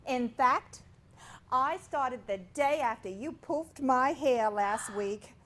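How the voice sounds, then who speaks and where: A woman's voice: high voice, animated, slow, a bit bright, full character voice. An actress playing a chatty, good-natured housewife and mother in a staged comedy reading.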